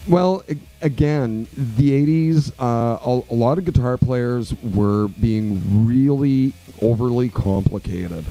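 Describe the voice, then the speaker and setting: A man's voice: medium pitch, slow pace, few pauses, faint music behind, poor audio. A man in his forties from Quebec, a guest musician telling stories in a radio interview, loose and rambling with lots of fillers. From Quebec, Canada. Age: 40s. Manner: relaxed, rambling storyteller with many fillers.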